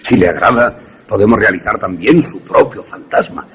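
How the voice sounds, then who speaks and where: Actor as menacing mad professor, very deep voice. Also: male voice, fairly bright tone, a little hiss or hum, close to an everyday voice. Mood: cold politeness. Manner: formal, measured, sardonic. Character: vengeful mad male professor, coldly polite, menacing. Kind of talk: Spanish radio suspense drama acting.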